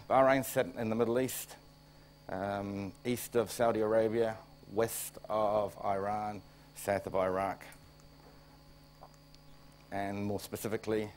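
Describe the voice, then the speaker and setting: Middle-aged New Zealand man, low voice, slightly stuffy with a cold, wry conference speaker over a faint hum. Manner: wry, plain-spoken, restarts phrases. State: coming down with a cold. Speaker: plant director. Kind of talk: conference presentation.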